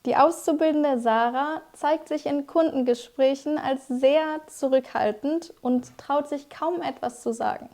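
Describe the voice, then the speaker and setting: A young woman with a high-pitched voice gives a scripted language lesson. She explains each word clearly and speaks directly to her viewers, as a teacher would. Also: measured pace, few pauses, mellow. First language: German. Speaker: German teacher.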